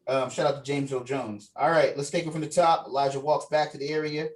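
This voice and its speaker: A man's voice: a little high, steady tone, a little fast. A man casually running a script table read over a video call, giving directions and reading out stage directions. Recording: distant mic on a video call.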